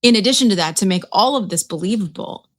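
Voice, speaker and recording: A woman's voice: mid-range voice, animated, fast. A woman chatting casually as a podcast panelist, talking in loose, rambling sentences full of fillers. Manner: chatty, many fillers and repeats. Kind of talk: casual chat.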